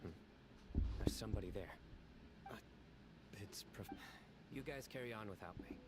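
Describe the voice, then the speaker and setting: An actor playing a stoic, earnest young man in a video game, delivering dry quips in a deadpan manner. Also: on the low side, moderate pace, background noise, close to an everyday voice. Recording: game audio with music underneath. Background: game music.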